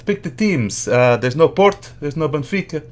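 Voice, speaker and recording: A man's voice: fairly high pitch, animated, normal speed, close to an everyday voice. A man playing a chatty, clueless Azorean Portuguese immigrant with a Portuguese accent and broken English, laughing often as he gets sidetracked. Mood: cheerful. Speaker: podcast guest. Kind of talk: comedy character monologue.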